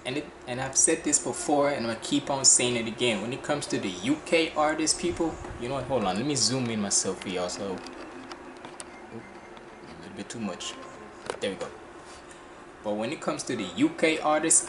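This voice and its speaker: A young man in his twenties with a Jamaican accent, chatting fast and loosely with lots of slang and restarts as he reacts to music. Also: medium pitch, noisy background. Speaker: reaction channel host. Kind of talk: casual music reaction commentary. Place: small bedroom indoors. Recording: echoey room with music playing.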